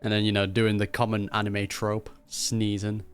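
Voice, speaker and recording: A man's voice: fairly low pitch, monotone, normal speed. A man giving casual, wry reaction commentary as he plays a video game. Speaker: gaming reaction streamer. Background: game music.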